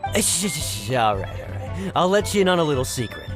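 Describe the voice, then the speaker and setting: A pushy wheedling fruit seller. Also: male voice, a little high, big pitch swings, brisk. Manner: wheedling, salesy, stammering. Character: pushy adult male fruit vendor. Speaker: merchant character. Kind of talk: video game voice acting. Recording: game music bed under the voice.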